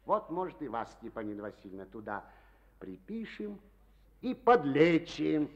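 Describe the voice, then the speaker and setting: A man's voice: a little high, very animated, normal speed. An actor playing a boastful, hearty collective-farm chairman in a Soviet radio play, folksy and exuberant. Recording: dull old archival radio recording.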